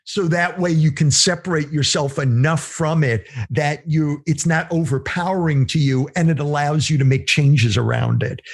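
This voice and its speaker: A man's voice: a little high, moderate pace, vocal fry, few pauses. A man co-hosting a casual podcast, musing aloud, asking questions and teasing his co-host. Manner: musing, asks questions, teases.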